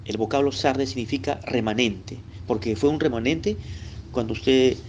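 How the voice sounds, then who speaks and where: A Peruvian man from Lima, with a Lima accent and a voice of ordinary middle pitch, gives a recorded Bible lesson. He teaches in a chatty way, speaking straight to the listener and checking in with little tag questions. A faint hiss of noise sits under his voice. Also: moderate pace, muffled recording. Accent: Peruvian Spanish, Lima. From Peru.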